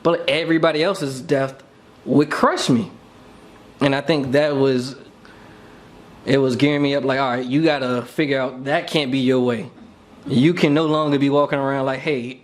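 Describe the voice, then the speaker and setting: A man's voice: mid-range voice, a little hiss or hum. A man talking casually and reflectively as a podcast co-host, thinking aloud in an informal way.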